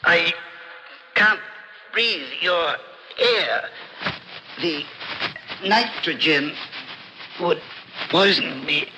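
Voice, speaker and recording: An actor playing a friendly, polite and reassuring alien creature in an old radio drama. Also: male voice, a little high, expressive, drawn-out words, long pauses, pushed and bright, a little hiss or hum, heavily acted. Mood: calm, explaining. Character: friendly, calm extraterrestrial in fuzzy orange spacesuit. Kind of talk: 1950s radio drama acting. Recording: old radio recording, long reverb, music.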